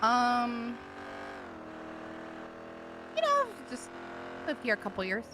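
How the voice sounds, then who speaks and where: A woman improvising casual in-character chat in a role-play game, talking in an animated, chatty way. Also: fairly high pitch, takes it slowly. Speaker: role-play gamer voicing a car passenger. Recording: clear car engine noise.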